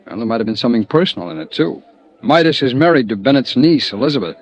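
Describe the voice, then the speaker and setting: An actor playing an easygoing, speculative colleague of a detective, conversational with hesitant asides, in 1950s radio drama style. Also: male voice, mid-range voice, sing-song, quick pace. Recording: dull vintage radio recording.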